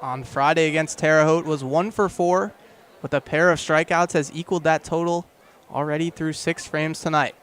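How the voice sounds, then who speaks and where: A young man doing live radio baseball play-by-play in clipped phrases that build to excited calls. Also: a little high, moderate pace, clean tone. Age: young adult. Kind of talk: live radio sports commentary.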